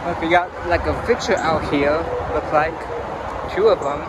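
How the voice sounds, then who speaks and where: Young male vlogger, slightly high voice, loose and rambling, filmed on a handheld camera outdoors with heavy traffic noise. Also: normal speed. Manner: rambling, filler-heavy, easily amazed. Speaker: urban explorer vlogger. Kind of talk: casual walk-and-talk commentary. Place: outdoors behind an empty store. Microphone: handheld phone or gimbal camera. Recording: loud outdoor street noise. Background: traffic and outdoor ambience.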